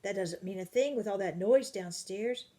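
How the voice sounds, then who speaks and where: A fierce, brisk older nanny scolds with no patience for nonsense, as a woman reading a storybook puts her on. Her words come clipped and firm. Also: medium pitch, mellow, natural voice. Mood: scolding.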